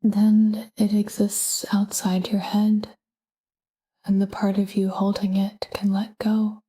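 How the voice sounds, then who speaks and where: Breathy, whispery woman guiding sleep. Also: medium pitch, rough recording. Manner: calm, gentle, measured. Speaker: sleep meditation narrator. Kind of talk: scripted guided sleep meditation, soft spoken.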